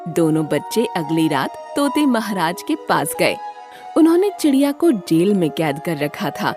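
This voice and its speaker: A woman narrating a scripted children's story as an expressive storyteller. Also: fairly low pitch, moderate pace, music in the background.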